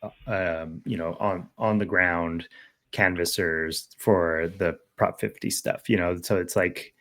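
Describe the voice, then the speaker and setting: Man, American accent, low pitch, casual discussion, podcast co-host, thinks aloud, seeks agreement. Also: steady tone, takes it slowly, fairly bright tone.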